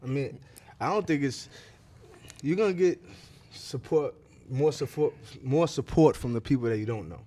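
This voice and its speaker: A man's voice: mid-range voice, lively intonation, moderate pace, mellow. A young man from the area, a musician guest talking casually and streetwise on a podcast. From Cambridge, Massachusetts. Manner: laid-back, streetwise, joking.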